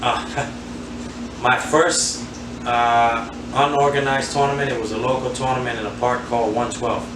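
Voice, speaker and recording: New man, flat and roomy. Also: mid-range voice, measured pace, recorded from a distance. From Queens, New York. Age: 40s. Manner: relaxed, rambling, many fillers. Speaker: interview guest, handball player. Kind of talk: casual interview, reminiscing. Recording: roomy, off-mic, noisy.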